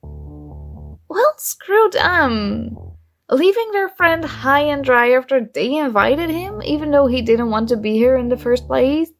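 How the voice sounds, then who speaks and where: Woman acting a shy college girl with a crush, slow unhurried delivery, soft and hesitant, slight vocal fry, intimate ASMR roleplay, music behind. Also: high-pitched, animated, exaggerated voice. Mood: indignant, caring.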